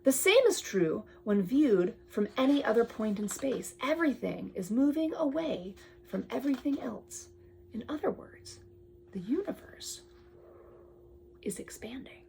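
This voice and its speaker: A woman reading aloud to a child with chatty asides, expressive and full of wonder, often dropping to a whisper. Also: fairly high pitch, unhurried, vocal fry, a little hiss or hum, low-quality recording.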